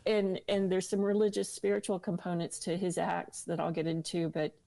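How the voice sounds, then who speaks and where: A woman with a fairly low voice tells a personal story as an interview guest, recorded close and dry. She is candid and emphatic, pausing for weight on key words. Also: flat delivery, vocal fry, warm tone, no room sound. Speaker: interview guest recounting own experience.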